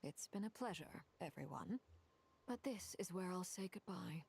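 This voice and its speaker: Low-voiced, self-assured lady. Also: animated, moderate pace, gravelly, darkish tone, slightly rough, heavily acted. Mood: courteous. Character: self-assured, courteous, cool commanding lady. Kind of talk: video game character dialogue. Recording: faint noise from game stream.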